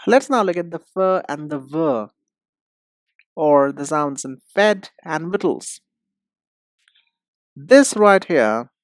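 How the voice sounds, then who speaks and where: A woman giving an instructional pronunciation lesson, speaking deliberately and enunciating with emphasis and pauses. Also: low-pitched, no echo, clean studio sound. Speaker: English pronunciation teacher. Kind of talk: instructional lesson, demonstrating sounds.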